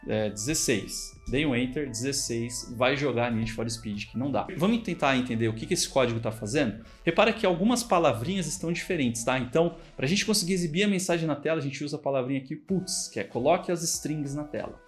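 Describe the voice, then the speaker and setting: A man's voice: medium pitch, expressive, brisk, few pauses, faint music behind. A man with a Brazilian Portuguese accent, a programming instructor walking through code in a casual, chatty screen-recorded tutorial and often checking in with the viewer. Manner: casual, chatty explaining with frequent check-ins.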